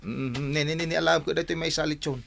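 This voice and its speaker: A man's voice: a little high, steady tone, fast, creaky, fairly bright tone, slight background noise. A man acting in an Ilocano radio drama as a blustery, teasing village working man, with an Ilocano accent.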